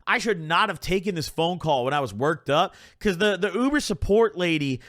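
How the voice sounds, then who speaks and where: A man's voice: high voice, normal speed, no room sound, studio quality. A young man hosting his channel, telling a story in a casual, profane, rambling way, packed with "like" and "bro". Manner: animated, puts on voices when quoting people. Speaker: channel host.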